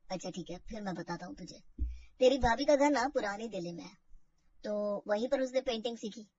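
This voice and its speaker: A woman voicing a calm, protective young husband and older brother in a family drama story, speaking in a measured way. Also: medium pitch, no room sound, highs cut off, voice pushed down, natural voice. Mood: calm, testing.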